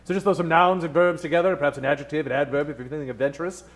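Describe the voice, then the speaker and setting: A man's voice: on the high side, fairly even intonation, racing. A man hosting live improv comedy on a theater stage, talking like a theatrical, mock-formal 1940s radio showman. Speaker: stage MC warming up audience.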